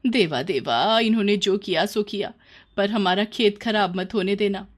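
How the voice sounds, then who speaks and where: A woman's voice: mid-range voice, moderate pace, lightly acted. A woman voicing a worried, sensible village farmer's wife who scolds and pleads in a cartoon moral story. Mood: exasperated worry.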